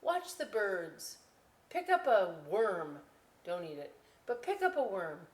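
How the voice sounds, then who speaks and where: A woman's voice: medium pitch, takes it slowly. Chatty woman storytime host, echoey room. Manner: chatty, expressive, addresses children directly. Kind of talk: children's storytime and craft talk.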